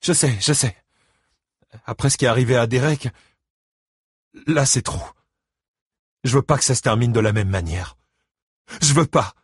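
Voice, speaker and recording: A man's voice: medium pitch, normal speed, slightly rough, no room sound, clean studio sound, voice pushed up, lightly acted. A man voicing a concerned, exasperated ex-wife and grandmother, speaking emotionally in an audiobook novel. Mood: sad, pleading. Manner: exclaiming, emotional.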